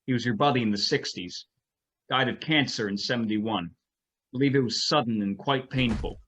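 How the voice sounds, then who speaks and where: A man's voice: medium pitch, normal speed, a bit bright, lightly acted. A man playing a probing newspaper reporter in a fan-made drama, businesslike and pointed, on a dull recording. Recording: dull remote-call recording.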